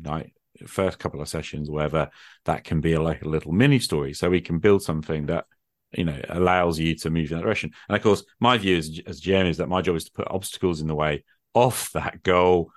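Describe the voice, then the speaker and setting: A man's voice: low-pitched, no room sound, studio quality. A man chatting casually as a gaming podcast host, thinking aloud with plenty of "like" and "you know". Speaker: podcast host and game master. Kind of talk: casual conversation about tabletop gaming.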